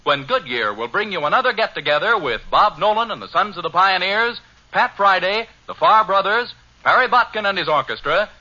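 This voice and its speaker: A man's voice: high-pitched, expressive, brisk, sharp tone. A man working as announcer on a scripted 1940s radio show. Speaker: announcer and sidekick. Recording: dull 1940s radio recording, music behind.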